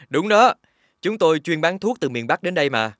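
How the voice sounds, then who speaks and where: A man voicing a playful, teasing younger brother who sells medicine, in an animated fairy tale. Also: fairly high pitch, normal speed, no room sound, studio quality. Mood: cheerful. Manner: jolly, teasing.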